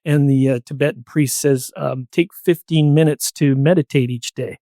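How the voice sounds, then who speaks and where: A man's voice: mid-range voice, fairly even intonation, normal speed, mellow. A man with a mid-range voice chats on a podcast panel. He muses in a conversational way, and the sound is very dry, with no room echo. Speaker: podcast speaker.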